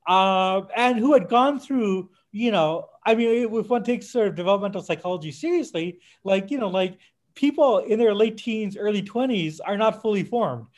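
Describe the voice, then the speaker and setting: Higher-voiced man in digressive podcast talk. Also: animated. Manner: halting, digressive, with frequent self-interruptions and tangents. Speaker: podcast co-host. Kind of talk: casual podcast discussion of music history.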